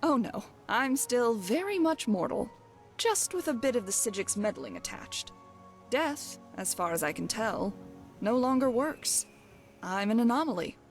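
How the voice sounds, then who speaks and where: A woman's voice: on the high side, big pitch swings, a little slow. Woman acting wry, cocky fantasy thief, music behind. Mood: wry, matter-of-fact. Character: brash, wry female thief, undying adventurer. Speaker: companion character in a fantasy game. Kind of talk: voiced game dialogue.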